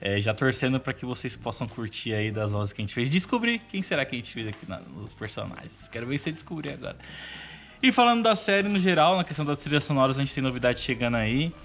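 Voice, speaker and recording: A man's voice: medium pitch, big pitch swings, fast talker, fairly bright tone. A Brazilian man from a radio drama cast, chatting casually and teasingly in a promotional interview. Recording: music behind the voice.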